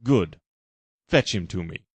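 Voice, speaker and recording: A man's voice: sits low, expressive, slow, husky, no echo, heavily acted. A man voicing a grandly evil male alien overlord who gives menacing commands.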